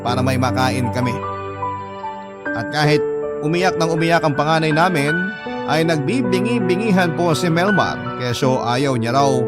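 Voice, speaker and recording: A Filipino man narrates a radio drama, reading out a scripted personal letter. His voice sits at a medium pitch. Loud music runs behind the whole narration.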